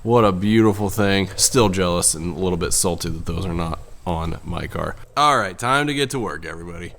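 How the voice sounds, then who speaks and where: A man's voice: low voice, a little fast, vocal fry, a bit bright. A young man with a head cold and a congested voice, chatting casually as host of his car channel in his home garage, rambling and poking fun at himself. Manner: chatty, self-deprecating, rambling with fillers. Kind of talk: casual garage vlog talk.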